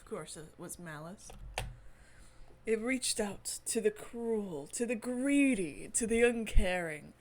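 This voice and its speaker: A woman with a mid-pitched voice reads a visual novel aloud for her gaming channel. Her pace is slow and dramatic, broken by enthusiastic, giggly commentary. Faint noise sits behind the voice. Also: expressive, a little mellow. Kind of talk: reading game text aloud dramatically with excited asides.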